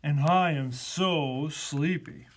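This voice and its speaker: A woman voicing a sleepy, weary elephant in a children's storybook, with slow, tired delivery. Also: mid-range voice.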